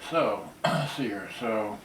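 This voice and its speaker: A man's voice: fairly low pitch, animated, slow, slight background noise. A man giving a casual, unscripted product demo, muttering to himself as he goes. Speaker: video creator demonstrating a cassette radio. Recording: roomy, distant mic, loud music playing. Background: loud music from cassette radio.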